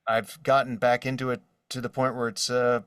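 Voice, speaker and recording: A man's voice: mid-range voice, normal speed, smooth, no echo, studio quality, distant mic. Enthusiastic man chatting, slightly off-mic. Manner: enthusiastic, expressive. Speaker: guest, voice actor and artist. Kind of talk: casual interview chat.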